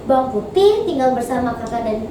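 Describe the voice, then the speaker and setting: High, animated young female storyteller, echoey. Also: a little fast. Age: teens. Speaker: student storyteller in a competition. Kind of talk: expressive folktale storytelling. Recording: very echoey, distant mic, noisy.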